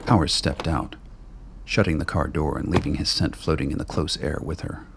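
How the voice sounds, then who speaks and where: A man narrating his radio play in a steady, even storytelling voice. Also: extremely low pitch, moderate pace, gravelly, background noise. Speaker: writer and narrator.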